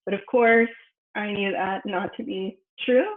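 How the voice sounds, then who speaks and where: A woman researcher whose first language is Spanish, giving a personal academic presentation with many pauses and fillers. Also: medium pitch, fairly even intonation. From United States. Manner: thoughtful, frequent fillers and restarts. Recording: echoey room over a phone-like line.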